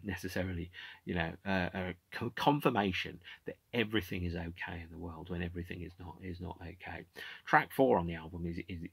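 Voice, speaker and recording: Man, animated home commentary, gravelly, dry close sound. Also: low voice, no echo. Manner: animated, gestures with hands, many fillers and restarts. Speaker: podcast host. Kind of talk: casual music commentary. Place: home room with shelves of CDs.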